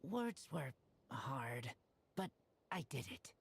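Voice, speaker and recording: An actor playing an earnest, wolf-raised teenage boy in a video game, speaking in terse, halting, broken sentences. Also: mid-range voice, sing-song, creaky, background noise, heavily acted. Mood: earnest, determined.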